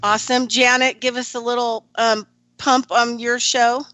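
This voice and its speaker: A woman's voice: fairly high pitch, level tone, moderate pace, pushed and bright, dry recording. A woman hosting a radio panel, casual and chatty with fillers as she asks long questions. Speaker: radio show host.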